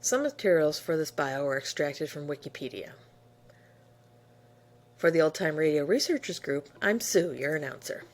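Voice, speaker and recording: A woman's voice: low-pitched, expressive, normal speed, slight background noise. A woman working as an announcer, reading a scripted biography narration.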